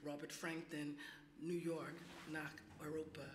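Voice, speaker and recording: A woman's voice: low-pitched, flat delivery, a little slow, noisy background. American woman speaking German, roomy hall. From United States. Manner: slightly accented, searching for words, mixing in English terms. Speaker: interviewer. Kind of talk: live stage conversation. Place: gallery event space. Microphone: table mic at a distance. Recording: echoey room.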